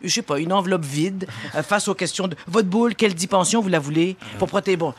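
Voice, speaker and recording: A woman's voice: lowish voice, fast, breathy edge. Theatrical Quebec French comic woman. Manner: theatrical, mock-grand, emphatic. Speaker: comic columnist on a radio show. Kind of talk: comic scripted monologue.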